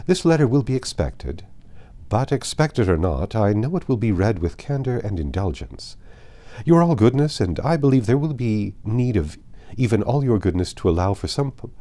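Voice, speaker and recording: A man narrating a classic novel aloud as an audiobook reader, in a measured, formal and expressive way with stressed phrases. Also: medium pitch.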